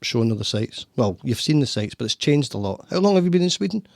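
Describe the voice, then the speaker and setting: A Scottish man hosts a relaxed podcast chat, rambling and restarting his sentences. His voice has a gravelly rasp, and the studio sound is dry and close. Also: medium pitch, fast, no echo. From Scotland. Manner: warm, rambling, talks with frequent restarts. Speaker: podcast host. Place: home studio.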